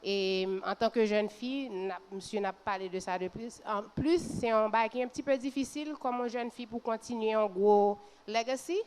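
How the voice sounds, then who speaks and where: A woman's voice: on the high side, normal speed, few pauses, a little mellow, smooth. A woman in her thirties from Port-au-Prince introducing herself on a panel earnestly, dropping in English phrases. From Port-au-Prince, Haiti. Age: 30s.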